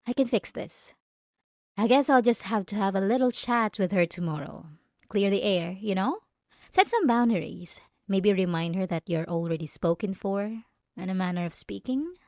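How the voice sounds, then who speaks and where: A woman's voice: medium pitch, expressive, normal speed, dry recording, studio quality, natural voice. A young woman playing a jealous, condescending yandere student bully in an ASMR roleplay, speaking straight to the listener with sarcastic, taunting emphasis. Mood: controlling, menacing.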